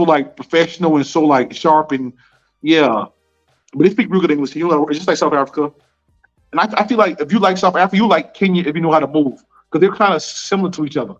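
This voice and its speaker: Laid-back American man, slangy and repetitive. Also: on the high side. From United States. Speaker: interviewee. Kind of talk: casual conversational interview. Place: Nairobi. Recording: dull lo-fi sound with faint music.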